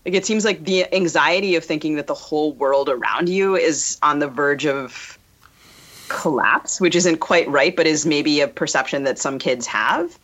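A woman co-hosting a podcast interview, feeling her way through questions in a halting, tentative, thoughtful manner. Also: low voice, a bit bright. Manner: halting, tentative, thinks aloud. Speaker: podcast co-host.

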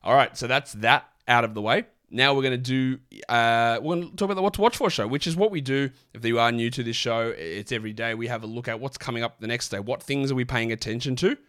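A man, sick and croaky, hosting a casual basketball podcast with lots of rambling restarts. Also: mid-range voice, fast, hardly any pauses, fairly bright tone. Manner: rambling, chatty, many fillers and restarts. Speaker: fantasy basketball podcast host. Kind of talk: casual solo podcast talk.

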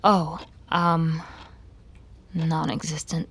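An actress playing a guarded, sorrowful female vampire in an audio drama, with a measured, introspective, hesitant delivery. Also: sits low, level tone, creaky, fairly bright tone, slightly rough, heavily acted. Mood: guarded, evasive. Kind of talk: audio drama narration and dialogue.

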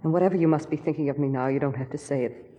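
Very low, husky, composed woman. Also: racing, warm tone, big-room sound. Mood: weary, resigned. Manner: subdued, restrained. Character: composed, resigned, guilt-burdened accused woman. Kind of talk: 1940s radio drama acting. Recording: 1940s radio recording.

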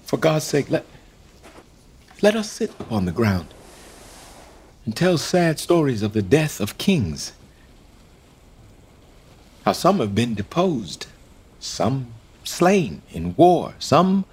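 A husky, despairing deposed king. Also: fairly high pitch, heavily acted. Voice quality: husky, slow, swinging between hushed and cried out. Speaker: actor playing King Richard. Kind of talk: stage audio drama acting.

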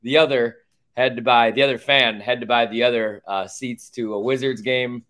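A man with an ordinary mid-pitched voice chats casually about sports as a podcast co-host. He speaks bluntly, pushes his opinions and drops swear words freely into everyday conversation. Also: fairly even intonation, moderate pace, fairly bright tone.

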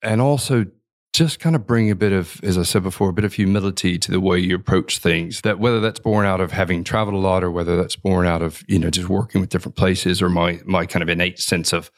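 A man with a very deep voice talks things through slowly and thoughtfully, circling back and restarting his sentences as he goes. He sounds like a guest on a conversational podcast, telling stories about his work. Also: fairly even intonation. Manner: reflective, rambling with frequent restarts and fillers. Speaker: interview guest, consultant.

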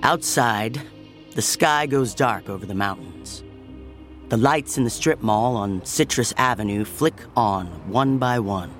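Calm wistful narrator for audio drama, mid pitch, measured, soft music under it. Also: male voice. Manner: measured, lyrical. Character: calm, wistful omniscient narrator of a city story.

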